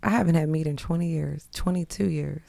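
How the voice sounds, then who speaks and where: A woman's voice: low voice, brisk, gravelly, warm tone, dry recording. A woman chatting casually as a podcast guest, blunt and teasing. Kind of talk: casual chat.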